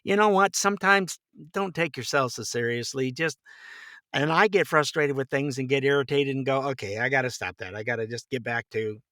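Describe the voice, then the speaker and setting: Bright-voiced man chatting casually, dry sound. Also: mid-range voice, a little fast, dry recording. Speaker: podcast guest, voice actor. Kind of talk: casual chat.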